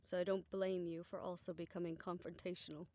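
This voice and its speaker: A woman playing a tender, self-assured older woman in a romantic audio roleplay, speaking in a reflective, gently formal way. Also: fairly low pitch, level tone, brisk, close to an everyday voice. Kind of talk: romantic audio roleplay, intimate scripted monologue.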